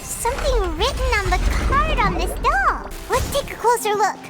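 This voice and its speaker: A woman voicing a small, childlike, curious fairy companion in a video game, with a squeaky voice. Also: very animated, moderate pace, fairly bright tone, exaggerated voice. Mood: puzzled. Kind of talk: video game character acting. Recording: game music behind the voice.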